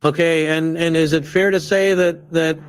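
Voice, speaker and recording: A synthetic male voice playing a defense attorney, questioning a witness in a measured, methodical way. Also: on the high side, steady tone, a little hiss or hum, close to an everyday voice. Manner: measured, methodical cross-examination. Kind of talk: synthetic courtroom reenactment.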